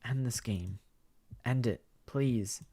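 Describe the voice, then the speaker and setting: Brief: man doing a high, strained young woman, broken and pleading. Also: expressive, normal speed, lightly acted. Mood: desperate. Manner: shaky, pleading and worn out. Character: despairing young woman trapped in a time loop.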